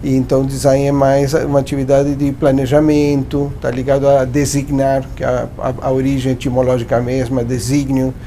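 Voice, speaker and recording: Man, Uruguayan Spanish accent, explanatory interview guest, mellow tone, low background rumble. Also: completely flat delivery, brisk, hardly any pauses. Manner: thoughtful, explanatory, with frequent restarts and tag questions. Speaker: branding professor and guest. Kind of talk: interview answers.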